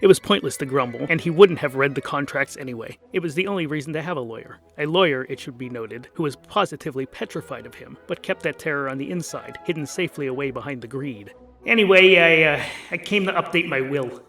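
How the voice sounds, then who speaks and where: A man narrating a comic fantasy story in a wry, expressive scripted style. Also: medium pitch, fast, hardly any pauses, faint music behind.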